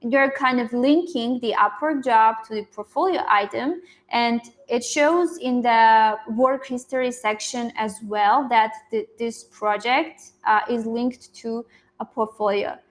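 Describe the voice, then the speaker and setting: Casting: female online coach, fairly high voice, encouraging and informal, leading a group video call. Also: a little slow. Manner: encouraging, affirming.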